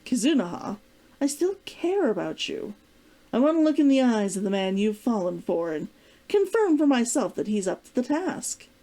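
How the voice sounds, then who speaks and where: A woman reading aloud as a protective, gently teasing man in a storybook, measured and probing. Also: on the high side, sing-song, low-quality recording. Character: concerned, teasing adult man, protective old flame. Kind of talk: storybook character dialogue read aloud.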